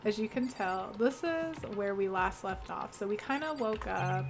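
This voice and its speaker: A woman's voice: medium pitch, animated, normal speed, heavy background noise. A young woman streaming a game, chatty and laughing often, greeting viewers by name, her voice congested with a sore throat. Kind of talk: casual game stream chat.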